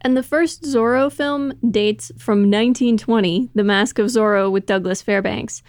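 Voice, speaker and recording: A woman's voice: a little high, moderate pace, darkish tone, no room sound. A woman co-hosting a podcast, giving informative commentary in casual chat with light laughs.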